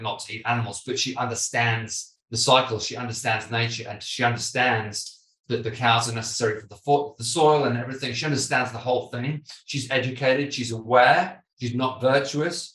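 A man's voice: medium pitch, moderate pace. A British man in his thirties hosting a podcast over a video call, talking casually and animatedly, with many restarts. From Britain. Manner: animated, gestures with his hands, frequent restarts. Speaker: podcast host. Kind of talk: casual conversation. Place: home office, video call. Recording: echoey room, mic at a distance.

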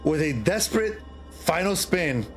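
A middle-aged man reading a horror story aloud in a theatrical, halting way, often repeating phrases. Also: on the high side, very animated, over loud music. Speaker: streamer, horror story reader. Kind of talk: dramatic storytelling read aloud.

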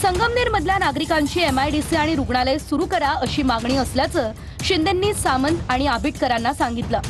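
A woman's voice: sits high, animated, fairly quick, music in the background. A woman news anchor reading a scripted news report.

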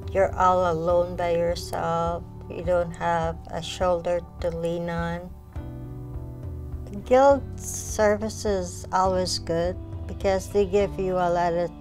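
Slow-talking woman with a Guyanese accent. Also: fairly low pitch. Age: 40s. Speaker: client sharing personal story. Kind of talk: interview testimonial. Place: small furnished living room indoors. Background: soft music.

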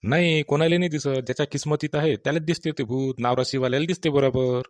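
A man voicing a calm, knowing old village farmer with a rural Vidarbha Marathi accent in cartoon storytelling. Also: on the high side, quick pace, no room sound, natural voice. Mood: explaining.

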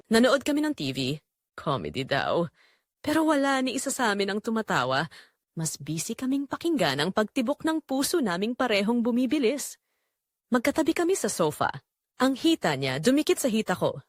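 Middle-aged mother-in-law for audio drama, played by a woman: secretly longing, guilt-ridden, deliberate emotive confession, very lively pitch, dry recording. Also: dry recording. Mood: tense, yearning. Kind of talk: dramatic first-person scripted narration.

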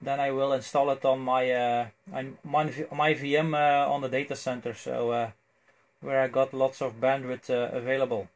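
A man with a Belgian accent chats casually as an amateur radio operator, phrasing things haltingly. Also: mid-range voice, flat delivery. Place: indoors at a cluttered electronics desk. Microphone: cell phone near the desk.

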